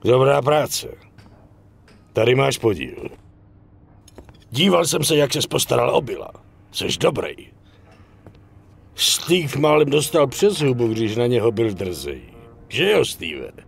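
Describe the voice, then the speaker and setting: An actor playing a bossy, brusque boss in a dubbed game, with a raspy, gravelly voice and a curt, patronizing manner. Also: male voice, mid-range voice, very animated, pauses often, a little hiss or hum. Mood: gruffly approving. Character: bossy, brusque male boss who hands out pay. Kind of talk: dubbed video game cutscene acting.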